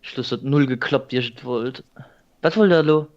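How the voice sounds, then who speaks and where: A man talking with his teammates during an online race, reacting loudly to hits and items. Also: mid-range voice, moderate pace, lo-fi sound. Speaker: team player.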